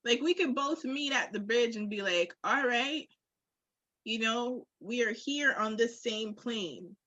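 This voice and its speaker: A woman's voice: a little high, expressive, measured pace, a bit bright, no echo, dull audio. A woman chatting candidly and casually on a podcast, emphatic and slangy with punchy phrasing.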